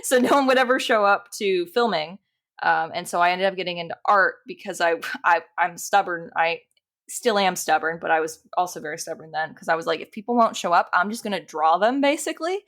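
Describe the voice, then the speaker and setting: A woman's voice: lowish voice, animated, brisk, fairly bright tone. A woman chatting casually as an interview guest, informal and self-deprecating in the way she talks. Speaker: podcast guest, animator and dungeon master.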